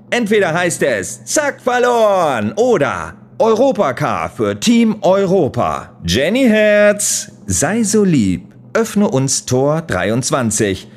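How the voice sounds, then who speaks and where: A man playing a gushing, leering TV game show host in an audio drama, with theatrical, drawn-out showman delivery. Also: a little high, exaggerated voice. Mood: teasing, excited. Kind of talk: German audio drama acting.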